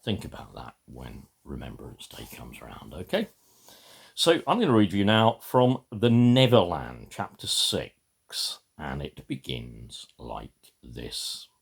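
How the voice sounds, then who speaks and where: A very low-voiced man with a husky, gravelly tone speaks slowly and personally to camera. His delivery is halting and emphatic, swinging dramatically from low mutters to raised words. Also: huge pitch swings. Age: middle-aged or older. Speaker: video maker giving a reading. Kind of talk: rambling personal talk to camera.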